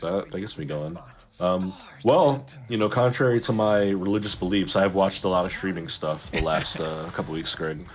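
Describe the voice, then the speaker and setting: A man's voice: deep voice, normal speed. A man co-hosting a pop culture show, chatting casually in a laid-back, dry way. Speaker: co-host.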